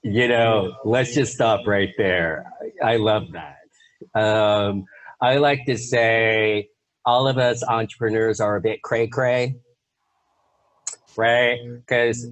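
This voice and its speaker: A man's voice: fairly low pitch, no echo, poor audio. A man hosting a casual interview podcast, enthusiastic and rambling as he chats with his guest. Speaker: podcast host.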